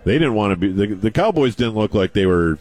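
A man in casual chat, chiming in quickly with comments. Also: fairly low pitch, animated, darkish tone, no room sound.

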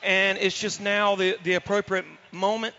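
Hoarse male guest, high and bright, halting casual talk on a dull, lo-fi line. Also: flat delivery. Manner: halting, with many fillers. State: hoarse from lost voice. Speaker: studio guest. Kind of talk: casual talk show chat. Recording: dull lo-fi sound.

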